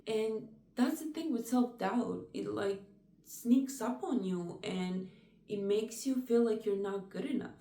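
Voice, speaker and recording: A young woman talking to camera about personal struggles in a soft, reflective way, with many "like" fillers. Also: medium pitch, roomy sound, far from the mic. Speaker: vlogger.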